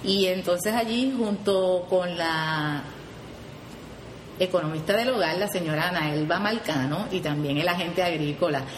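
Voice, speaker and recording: A woman's voice: fairly low pitch, a little slow, roomy sound, a little hiss or hum. A Puerto Rican woman who leads a youth program, explaining it earnestly in an interview and often adding "¿verdad?" as she goes. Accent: Puerto Rican Spanish. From Puerto Rico. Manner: earnest, frequent "¿verdad?" tags and restarts. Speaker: 4-H program leader, guest.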